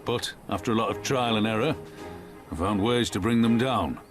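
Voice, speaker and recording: A man's voice: lowish voice, normal speed, music in the background, natural voice. A man playing a weary, plainspoken veteran soldier in a video game cutscene, speaking wistfully. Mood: wistful, reflective.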